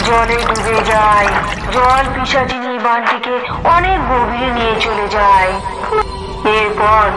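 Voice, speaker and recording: A woman with a fairly high voice narrates a scripted cartoon horror tale. Loud background noise and ambience run behind her storytelling.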